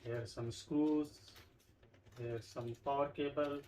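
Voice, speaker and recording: A man's voice: medium pitch, steady tone, a little slow, dark tone. Man presenting an unboxing, noisy recording. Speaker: tech review channel host. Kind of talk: unboxing product review, explanatory.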